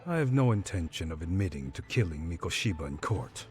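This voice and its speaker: A cold, sardonic prisoner brushes off questions with curt, dismissive replies. His voice is very deep, with soft music running behind the game scene. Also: big pitch swings, fairly quick. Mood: defiant. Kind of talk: game voice acting, dub.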